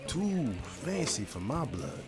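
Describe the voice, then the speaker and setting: An actor playing a loyal, folksy barkeep in an old-time radio drama, slangy and deferential. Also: male voice, a little high, very animated, slow, over music. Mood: obliging. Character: loyal, folksy speakeasy barkeep man, puzzled, solicitous.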